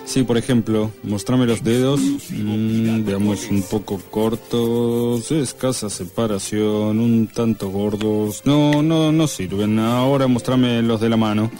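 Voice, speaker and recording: A nitpicking, self-important Argentine music professor for a radio comedy. His voice is fairly low and dark, pompous, with faint music underneath. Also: fairly even intonation, slow pace, few pauses. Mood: pompous, appraising. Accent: Argentine Spanish. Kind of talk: comic radio soap acting.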